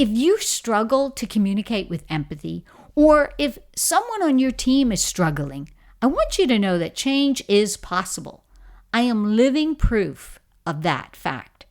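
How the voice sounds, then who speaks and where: A middle-aged woman from the Bahamas speaks with a clear Bahamian accent, coaching her listeners with emphatic, encouraging storytelling. Her pitch swings widely, rising and falling with each point, though her voice sits at an ordinary middle pitch for a woman. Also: darkish tone. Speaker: leadership coach. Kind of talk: motivational talk to camera.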